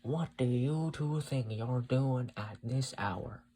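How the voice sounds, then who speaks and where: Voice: medium pitch, takes it slowly, mellow, close to an everyday voice. A narrator voicing a big, brutish male overseer who is scornful and menacing, in a dramatic story. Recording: clearly audible noise and hum.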